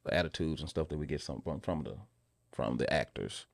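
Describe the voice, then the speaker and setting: A man answering casually in a podcast interview, often halting and repeating words as he thinks aloud. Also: extremely low pitch, lively intonation, vocal fry, dry recording, clean studio sound. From Memphis, southern United States. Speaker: guest, actor and filmmaker.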